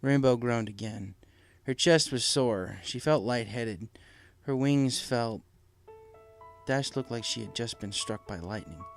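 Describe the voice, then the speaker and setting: A man's voice: mid-range voice, unhurried. Male story narrator over music. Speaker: fan fiction reader, narrator.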